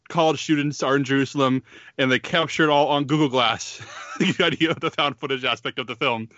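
Man, casual film chat, rambling, chuckles, bright forceful voice, slightly dull sound. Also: medium pitch, no echo. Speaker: podcast co-host reviewing films.

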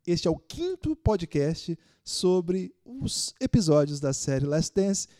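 A man's voice: high voice, big pitch swings, slow, warm tone. A man with a Brazilian accent hosting a casual sports podcast. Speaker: podcast host.

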